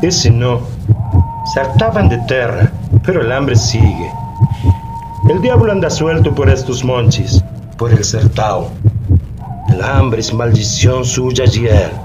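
Weary old peasant, slow and swooping. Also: male voice, mid-range voice, lively intonation, lo-fi sound, loud music behind the voice. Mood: weary, bitter. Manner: rustic, rambling, brooding, with slow drawn-out phrases. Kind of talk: Spanish-language radio theatre monologue. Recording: noisy recording with loud ambient background.

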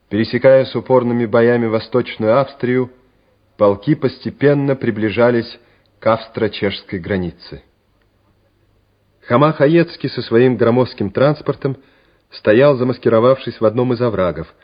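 A man narrating a radio play in a dramatic, scripted storytelling style. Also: medium pitch. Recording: dull old radio drama recording. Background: music.

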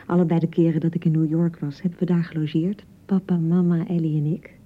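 An actress with a Dutch accent playing a young, anxious newlywed bride in a radio drama, touchy and fretful, chattering and repeating herself. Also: female voice, low voice, level tone, fast, narrow, tinny audio. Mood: eager, chatty. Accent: Dutch (Netherlands). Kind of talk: naturalistic radio drama acting.

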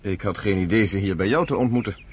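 An actor playing a busy, brisk underground organiser in a Dutch radio play. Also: male voice, lowish voice, breathy edge. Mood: businesslike.

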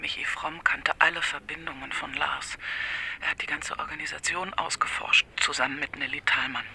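An actress playing a distraught, frightened woman confiding a secret in a radio drama, speaking breathily and haltingly. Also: low voice, steady tone, pushed and bright, a little hiss or hum, exaggerated voice. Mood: distressed, tearful. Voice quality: breathy, whispery. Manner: halting, hesitant. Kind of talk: naturalistic radio drama acting.

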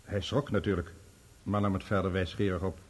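An actor playing a dignified doctor giving formal, factual testimony in a radio play. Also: male voice, deep voice, level tone, fairly quick. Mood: grave, factual. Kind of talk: radio play courtroom acting.

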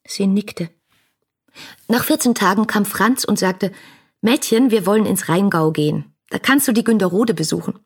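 A woman's voice: mid-range voice, husky. An actress playing a passionate, devoted young woman speaking her letters in a radio drama, intimate and earnest, full of grief and tenderness. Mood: urgent recollection.

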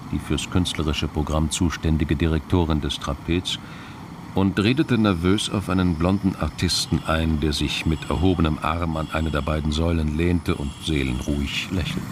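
Very deep, gravelly male narrator. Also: background noise. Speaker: narrator of a radio crime drama. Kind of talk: scripted literary narration.

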